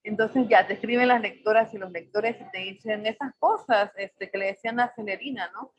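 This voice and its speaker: A woman in her forties from El Salvador, with a Salvadoran accent tinged with Mexican, speaking as a poet on a video call. She talks thoughtfully, searching for words and filling pauses often. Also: medium pitch, husky, highs cut off. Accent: Salvadoran Spanish mixed with Mexican. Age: 40s. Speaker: poet on a panel. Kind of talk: reflective personal talk.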